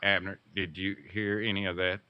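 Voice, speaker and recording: A man's voice: low voice, flat delivery, normal speed, dull audio, natural voice. A man playing a self-important, scheming rural old fellow with a Southern US accent in 1940s radio comedy, folksy and bossy. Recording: faintly noisy vintage radio recording.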